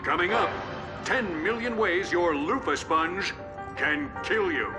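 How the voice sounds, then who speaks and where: Slow, portentous news anchor. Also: male voice, high-pitched, lively intonation, over music. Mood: slick solemnity. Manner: measured, portentous announcer delivery. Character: slick male TV news anchor. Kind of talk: cartoon game voice acting.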